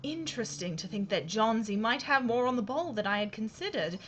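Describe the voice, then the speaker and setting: A woman's voice: fairly high pitch, animated, brisk, a bit bright, slight background noise. An actress playing a haughty, mischievous aristocratic British lady in a wartime mystery audio drama, arch and wry in manner. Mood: dismissive, musing. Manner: arch, wry, well-bred.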